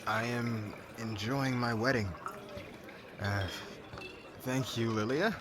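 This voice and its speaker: A man playing a brash, lovelorn young warrior groom in an ASMR audio drama, halting and informal, sounding exasperated. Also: mid-range voice, steady tone, background noise, lightly acted. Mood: tipsy, bitter.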